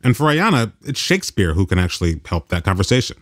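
A man's voice: deep voice, sing-song, fast. A man hosting a radio program, moving between narration and conversation. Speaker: radio host.

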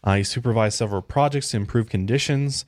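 A man co-hosting a casual true-crime podcast, reading case facts aloud in a relaxed way with fillers and asides. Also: medium pitch, fast talker, dry recording, studio quality. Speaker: podcast co-host. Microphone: studio podcast mic.

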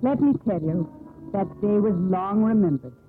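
A woman's voice: medium pitch, lively intonation, moderate pace, faint music behind, close to an everyday voice. An actress playing a headstrong, self-assured young captain's daughter telling her sea story in a 1950s American radio drama. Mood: storytelling. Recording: muffled lo-fi 1950s radio broadcast.

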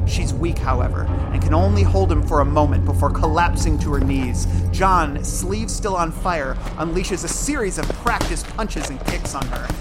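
Higher-pitched man narrating suspensefully over music. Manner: measured, suspenseful storytelling. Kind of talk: scripted dramatic narration of an audio drama. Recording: music and effects under narration.

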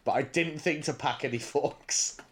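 A man's voice: on the high side, moderate pace, fairly bright tone. British man chatting casually. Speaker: podcast co-host. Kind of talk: casual chat.

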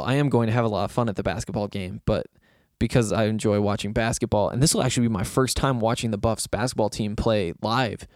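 A young man hosting a sports podcast, rambling casually with lots of fillers, his voice a bit raspy and hoarse from yelling. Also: medium pitch, no echo, studio quality. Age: young adult. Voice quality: slightly raspy. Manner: loose, self-deprecating, meandering with fillers.

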